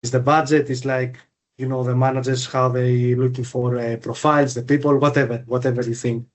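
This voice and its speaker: A man's voice: mid-range voice, level tone, brisk. A man hosting an interview, asking long, loose questions full of fillers. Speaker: podcast host, recruiter. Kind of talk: interview questions, casual chat.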